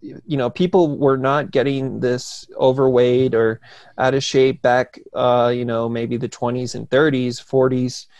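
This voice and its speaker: A young man with a gravelly, fry-tinged voice, speaking earnestly as a guest in a relaxed chat. The recording is dry and close, and he chats along with plenty of fillers and restarts. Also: medium pitch, unhurried, no echo. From New Jersey. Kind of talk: casual conversation.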